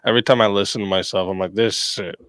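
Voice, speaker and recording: A man's voice: on the low side, fairly even intonation, fast talker, a bit bright, dry recording. A man with a nasal voice hosting a stream, chatting casually, laughing often and joking about himself. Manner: laid-back, laughs often, self-deprecating. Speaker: stream host and debate moderator. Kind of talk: casual chat.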